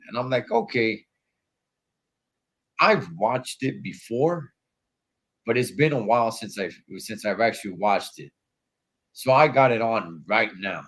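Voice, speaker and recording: A man with a stuffy nose from allergies, hosting a casual wrestling livestream from a hotel room in laid-back slangy talk. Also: lowish voice. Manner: laid-back slangy talk, calls viewers dog and Holmes.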